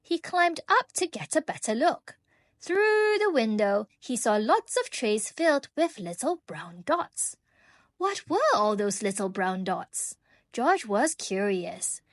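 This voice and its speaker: A woman reading a children's book aloud in an expressive, animated way, drawing out key phrases for young listeners. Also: on the high side, unhurried, no echo, studio quality. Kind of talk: expressive storybook read-aloud.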